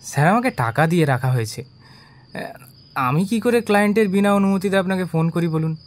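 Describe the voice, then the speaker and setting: Nervy eager private snoop, high voice, swoops around, very respectful, radio drama. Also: male voice, animated, fairly quick. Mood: eager, defensive. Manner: deferential, earnest, protesting. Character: eager, deferential small-time private investigator, defensive. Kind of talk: audio drama acting.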